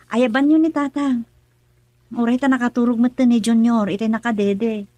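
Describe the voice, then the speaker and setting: A woman's voice: on the high side, steady tone, moderate pace, music in the background, natural voice. A woman playing a troubled young daughter. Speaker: daughter in radio drama. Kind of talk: Ilocano radio drama acting.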